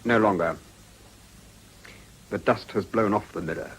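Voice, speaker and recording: An actor playing a courteous, melancholy upper-class British gentleman in a period radio drama, speaking formally and with reserve. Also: on the low side, unhurried, a little hiss or hum, rough recording. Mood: wistful reserve.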